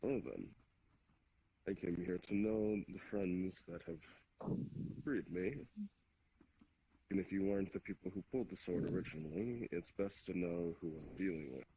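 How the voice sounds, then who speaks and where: An ancient demon king, acted by a man in an online roleplay, speaks in a deep, low voice. He is formal and deliberate, with calm authority and polite manners. Background noise sits behind the voice. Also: poor audio. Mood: calmly probing. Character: ancient demon king, courteous, measured, quietly commanding.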